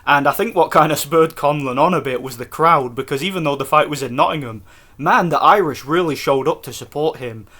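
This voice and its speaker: A man from Scotland giving a casual, excited post-fight review for his vlog, rambling with restarts. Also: on the high side, brisk. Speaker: boxing vlogger.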